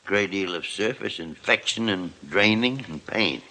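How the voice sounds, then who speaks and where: An actor playing a gruff older hospital chief doctor in a 1950s radio drama, blustery and teasing. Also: male voice, on the low side, animated, unhurried, sharp tone, heavily acted, rough recording. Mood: reassuring. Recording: old radio broadcast recording.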